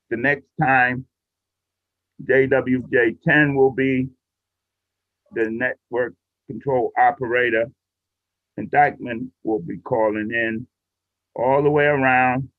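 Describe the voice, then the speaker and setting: A man instructing a class in a casual, patient, step-by-step way over a video call. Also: medium pitch. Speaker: instructor leading a radio training class. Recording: muffled, roomy video call audio.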